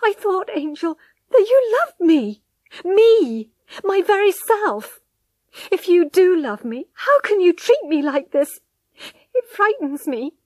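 A woman's voice: high-pitched, moderate pace, fairly bright tone, dry recording, pitched above the performer's own voice. A woman voicing a distraught, devoted young wife in a dramatic story, pleading and tearful. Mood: anguished desperate. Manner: pleading, breathy whispers rising to anguished cries.

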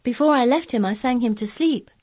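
A woman's voice: a little high, fairly quick, darkish tone, slightly rough. A woman voicing a stubborn, self-possessed young girl who speaks firmly and plainly in a storybook reading. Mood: matter-of-fact. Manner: firm, plain-spoken, earnest.

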